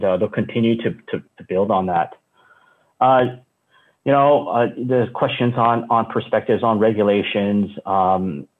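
A man in his thirties talks from a room at home through earbuds on a video call. His voice sits low and comes through thin and phone-like. He speaks haltingly, with many fillers and restarts. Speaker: company founder giving a keynote. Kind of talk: casual unscripted talk. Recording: tinny phone-like call audio.

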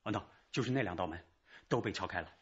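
A man's voice: low voice, fast talker, breathy edge, dull audio. A man playing a deferential, hesitant store manager giving a witness account in a radio crime drama. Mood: nervous recounting.

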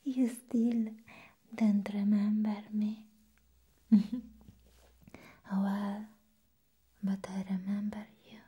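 A woman playing a crazy, playful, hypnotic vampire nurse in a breathy whispered ASMR roleplay, close to the microphone. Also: mid-range voice, level tone, dark tone, exaggerated voice. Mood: coy, taunting. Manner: slow, drawn-out, teasing delivery.

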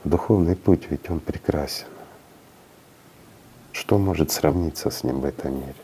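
A man's voice: extremely low pitch, moderate pace, mellow, a little hiss or hum. A middle-aged man in a studio, speaking earnestly and preaching with rhetorical questions. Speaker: spiritual speaker interviewed in studio. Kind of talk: reflective studio talk.

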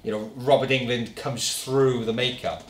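A man's voice: medium pitch, steady tone, moderate pace. A man in his thirties co-hosting a film vlog, chatting in a laid-back, matter-of-fact way. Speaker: film discussion vlog co-host. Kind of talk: casual chat. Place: small home office, indoors. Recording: echoey room, faint background noise.

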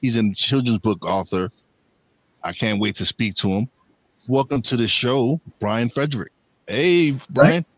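A man's voice: medium pitch, measured pace, dry recording, rough recording. A man hosting a casual podcast interview, speaking in a loose, eager way and repeating his words. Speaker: podcast host.

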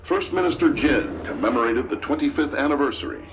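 A man playing a polished television news anchor in a video game, announcing formally and crisply. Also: medium pitch, animated, a little fast, noisy background, close to an everyday voice. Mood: brisk, neutral. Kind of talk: news bulletin.

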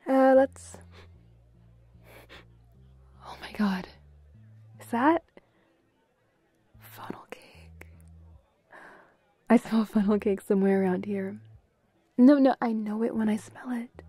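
Bubbly, high-voiced playful girlfriend, acted by a woman. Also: dark tone, no echo, heavily acted. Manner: giggly, teasing, coaxing, breathy. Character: bubbly, playful, affectionate young girlfriend on a date. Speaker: audio roleplay voice performer. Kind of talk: intimate girlfriend roleplay audio acting.

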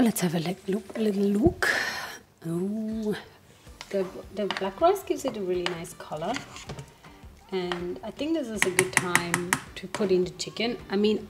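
A woman's voice: medium pitch, noisy background. Sniffly woman casually chatting through cooking. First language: German. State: sniffly with a cold. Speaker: home cook vlogger. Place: home kitchen, indoors. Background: background music.

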